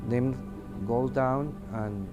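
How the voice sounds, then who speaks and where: A man with a Mexican accent, a native Spanish speaker, giving testimony in an interview and haltingly searching for words in his second language. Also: mid-range voice, over music. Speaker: air traffic controller giving testimony. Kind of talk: interview testimony, unscripted recounting.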